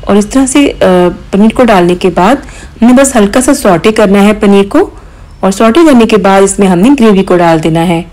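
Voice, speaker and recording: A woman hosting a cooking channel, calmly explaining each step of a recipe in voice-over, with occasional small hesitations. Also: mid-range voice, rough recording. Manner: calm step-by-step instruction with mild hesitations.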